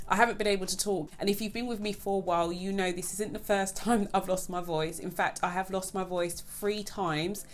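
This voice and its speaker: A woman's voice: medium pitch, steady tone, a little fast, few pauses. A woman hosting her own budgeting channel, talking casually and chattily to her viewers. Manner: chatty, keeps addressing viewers as "guys". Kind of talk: casual vlog chat.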